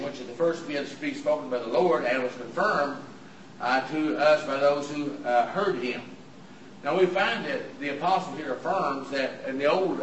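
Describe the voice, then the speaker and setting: A visiting preacher, his voice hoarse and raspy from throat trouble, delivering an earnest sermon in a halting way with frequent "uh" fillers. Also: a little high, a bit bright. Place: church auditorium. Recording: echoey hall from far off with room noise.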